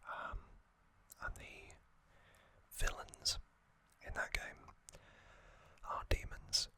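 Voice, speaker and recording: An ASMR host whispering casual trivia, hushed and unvoiced, musing with frequent ums. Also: drawn-out words, dry recording.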